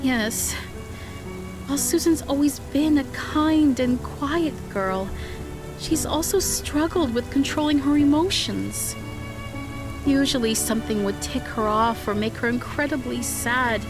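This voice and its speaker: A woman's voice: high voice, unhurried. A woman playing a worried mother in an audio drama, speaking in an earnest, anxious manner. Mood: sombre, explaining. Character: worried mother of a teenage girl. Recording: music behind the voice.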